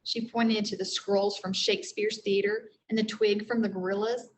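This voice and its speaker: A woman reads aloud as a teacher would to young students. She explains things in simple terms and checks in with her listeners. Her pitch is middling, and there is clear background noise in the recording. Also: little pitch movement, normal speed, low-quality recording. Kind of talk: read-aloud storytelling for children.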